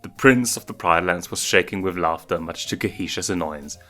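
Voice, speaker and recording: A man with a fairly low voice narrates a written story and acts out its dialogue. Soft music plays behind him. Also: moderate pace. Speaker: fan fiction reader. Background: background music.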